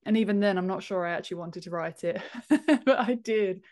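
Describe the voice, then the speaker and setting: A woman's voice: mid-range voice, a little fast, darkish tone. A woman in her thirties with an English accent, chatting informally and modestly as a podcast guest on a video call. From Britain. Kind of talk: casual interview chat. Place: home office. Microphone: wired earphones on a video call.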